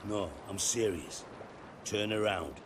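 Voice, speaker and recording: An actor playing a coarse, heavyset middle-aged spymaster in a video game, wry and theatrical, with a mocking manner. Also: male voice, on the low side, takes it slowly, noisy background. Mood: wry, confiding. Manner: mocking, crude, wordy storytelling. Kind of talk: video game cutscene dialogue.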